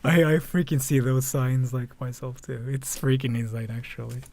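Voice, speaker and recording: A man live streaming casual reaction commentary, talking in a halting, repetitive, informal way with some mild swearing. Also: mid-range voice, fairly even intonation, darkish tone.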